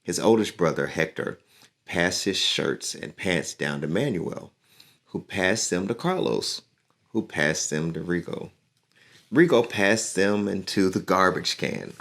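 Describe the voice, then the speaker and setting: A man reading a picture book aloud to children in an encouraging, unhurried way. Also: sits low, lively intonation, vocal fry, a bit bright. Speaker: community leader and musician reading to children.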